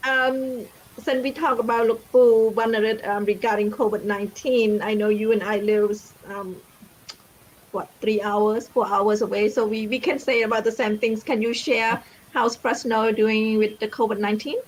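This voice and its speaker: Higher-voiced woman hosting an online chat. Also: steady tone, moderate pace, a little hiss or hum, rough recording. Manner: friendly, loose phrasing with fillers. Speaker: host. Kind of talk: casual interview hosting.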